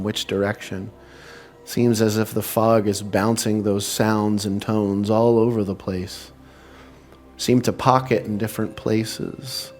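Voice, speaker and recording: A man with a fairly low voice leads a guided meditation. He speaks in unhurried, soothing phrases and leaves long pauses between them. Music plays clearly behind his voice. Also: a little mellow. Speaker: meditation leader and spiritual speaker.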